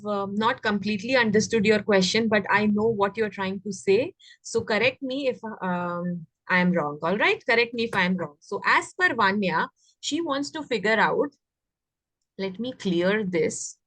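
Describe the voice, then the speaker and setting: A woman's voice: medium pitch, moderate pace, a bit bright, no room sound, poor audio. A woman with an Indian accent teaching children English grammar, explaining and often asking questions to check understanding. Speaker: teacher of an online class.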